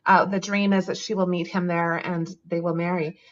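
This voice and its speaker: A woman's voice: fairly low pitch, flat delivery, normal speed, dull audio, slight background noise. A woman author answering interview questions over a remote call, thoughtful and chatty, with frequent ums and light laughs. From Canada. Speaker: author guest.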